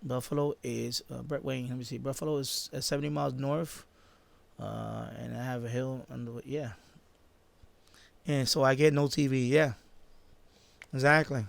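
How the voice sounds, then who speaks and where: New guy rambling on a livestream, creaky gravelly voice, dry close sound, keeps stopping and restarting. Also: medium pitch, fairly even intonation, dry recording. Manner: halting, repetitive, trailing off and circling back. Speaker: live-stream host, radio hobbyist. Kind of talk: casual unscripted live-stream chat.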